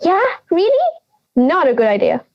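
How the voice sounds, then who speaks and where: A woman's voice: very high-pitched, huge pitch swings, moderate pace, lo-fi sound, full character voice. A young woman playing a feisty, blunt granny of ninety in an audio drama, scolding and sarcastic. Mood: incredulous. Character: feisty, blunt ninety-year-old granny living in the woods.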